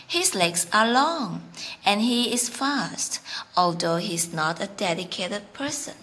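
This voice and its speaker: Slow, lively-pitched woman storyteller. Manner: deliberate, careful phrasing in short chunks. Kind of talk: children's read-aloud storytelling and teaching.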